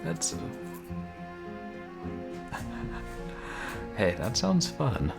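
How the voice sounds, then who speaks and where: A man streaming a video game, chatting casually and soft-spoken with viewers, often chuckling. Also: mid-range voice, huge pitch swings, drawn-out words, creaky. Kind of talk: casual let's-play chat. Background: game music.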